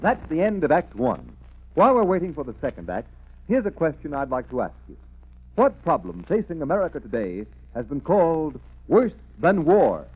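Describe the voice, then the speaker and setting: A man's voice: fairly high pitch, huge pitch swings, moderate pace, soft background music. A man announcing and reading advertising in an emphatic, salesmanlike 1930s radio style. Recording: tinny, narrow 1930s radio recording.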